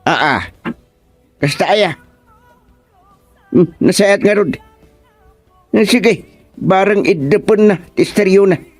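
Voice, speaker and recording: A man's voice: sits high, slow pace, pauses often, faint music behind. A man with an Ilocano accent playing a village husband who handles neighbours' complaints in a radio comedy drama. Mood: brisk, businesslike.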